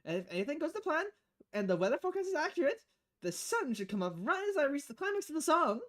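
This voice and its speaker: A woman's voice: high voice, big pitch swings, fast, pitched above the performer's own voice. A woman voicing a bubbly, eager young female idol singer from a visual novel game, chirpy and theatrical. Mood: cheerful.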